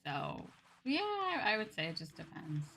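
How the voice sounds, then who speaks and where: A woman livestreamer chatting casually while she plays, sometimes musing aloud in a drawn-out, sing-song way. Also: medium pitch, huge pitch swings, vocal fry, fairly bright tone. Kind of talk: casual game-stream chat. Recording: music behind. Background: game music.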